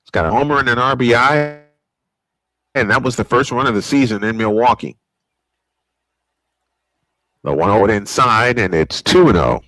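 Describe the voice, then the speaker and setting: An older American man calling a baseball game in classic broadcast style, his voice bright and forward. He rattles off counts and pitches steadily and lifts sharply when a play gets close. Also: normal speed, long pauses, dry recording, low-quality recording. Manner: old-school broadcast style, rising excitement on big plays. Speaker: play-by-play announcer.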